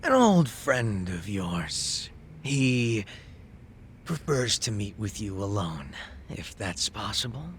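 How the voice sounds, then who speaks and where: A man playing a measured, secretive character in a video game dub, halting and understated. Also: on the low side, very animated, a little hiss or hum. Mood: guarded.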